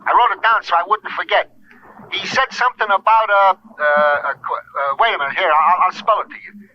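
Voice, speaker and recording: An actor playing a slow-witted, earnest police sergeant in a radio drama, halting and eager over a telephone. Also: male voice, sits high. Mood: earnest, flustered. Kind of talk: 1950s radio drama acting. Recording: muffled old radio phone-call sound, faint noise.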